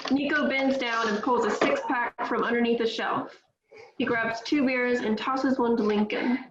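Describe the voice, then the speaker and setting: A woman reading stage directions in a steady, matter-of-fact way at a table read. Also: fairly high pitch. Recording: echoey, dull, faint noise.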